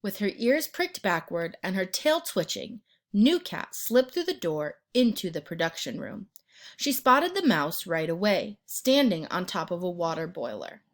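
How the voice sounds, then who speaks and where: A woman narrating a children's picture book as an expressive read-aloud storyteller. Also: fairly low pitch. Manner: pauses to ask the listener questions and point out pictures.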